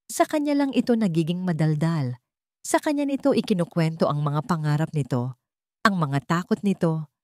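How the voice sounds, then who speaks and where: A woman's voice: fairly low pitch, darkish tone, no echo, clean studio sound. A woman with a Filipino accent narrating a dramatic scripted story.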